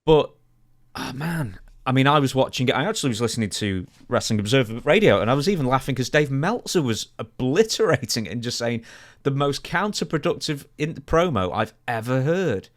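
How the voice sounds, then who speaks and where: A man's voice: medium pitch, expressive, fairly quick. A man in his thirties hosting a podcast, discussing news and asking questions in casual conversation at a close microphone. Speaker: podcast host. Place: small home studio indoors. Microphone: broadcast mic on a boom arm, close.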